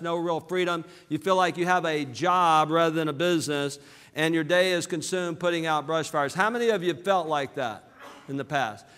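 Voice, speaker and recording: A man from southern Alabama with a Southern American accent giving an energetic motivational business seminar, calling out to his audience for responses. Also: high voice, clear, resonant tone. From southern Alabama, USA. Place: large hall with live audience. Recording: reverberant hall.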